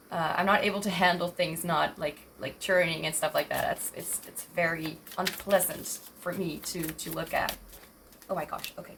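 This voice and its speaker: A young woman in her twenties talks through a tarot reading in a low, gravelly, slightly raspy voice. She hesitates and fills gaps with ums, breaking off mid-sentence. A faint noise sits under the recording. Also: fairly even intonation, normal speed, a bit bright, poor audio. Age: 20s. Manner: hesitant, rambling, self-interrupting with many fillers. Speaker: tarot reader.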